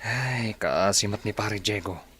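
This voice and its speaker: A caring village husband in a radio drama, lowish voice. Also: male voice, a little slow, a bit bright, a little hiss or hum. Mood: sympathetic. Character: sympathetic village husband discussing neighbours' troubles. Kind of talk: Filipino radio drama acting.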